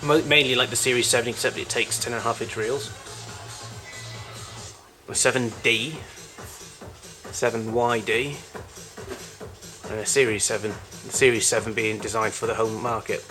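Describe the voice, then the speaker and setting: A man giving an informal, unscripted show-and-tell as a collector of old machines, in a halting, rambling way with frequent self-corrections. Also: mid-range voice, steady tone, music in the background. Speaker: collector showing vintage tape recorders.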